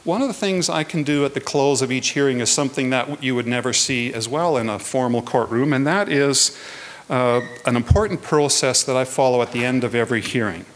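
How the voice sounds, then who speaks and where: A man gives a prepared public talk in a measured, earnest way. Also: medium pitch. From Canada. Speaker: guest speaker, hearing adjudicator. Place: indoors at a lectern in a hall.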